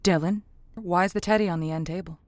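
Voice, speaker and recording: A woman playing a blunt but reassuring call-in advice host in a semi-improvised audio drama, speaking deliberately and emphatically. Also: on the low side, fairly even intonation, darkish tone, dry recording, natural voice. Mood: probing.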